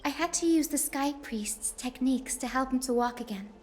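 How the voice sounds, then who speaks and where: A woman playing a gentle, earnest young female healer in a video game, hesitant and caring. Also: sits high, moderate pace, a little hiss or hum, close to an everyday voice. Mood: worried. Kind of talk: English video game voice acting.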